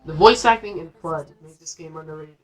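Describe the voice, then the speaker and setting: A woman's voice: low-pitched, a little fast, faint music behind. A woman giving casual, rambling, unscripted commentary as host of a gameplay video. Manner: rambling, informal.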